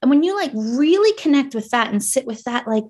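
A woman's voice: high voice, expressive, fairly quick, a little mellow. A woman in her thirties from New Jersey, with a Jersey accent, speaking earnestly and reflectively as a coach on a podcast. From New Jersey, United States. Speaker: guest, coach. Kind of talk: podcast conversation.

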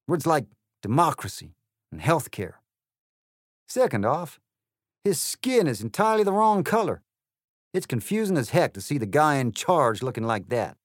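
A man's voice: fairly low pitch, very animated, no room sound, clean studio sound. A man doing a drawling cowboy hare. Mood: fired up. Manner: slow Southern drawl. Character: drawling cowboy hare, tall, brash male.

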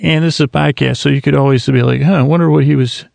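A man hosting a sleep podcast, rambling in a meandering, soft-spoken bedtime monologue that keeps interrupting itself. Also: on the high side, steady tone, fast talker, gravelly, no echo.

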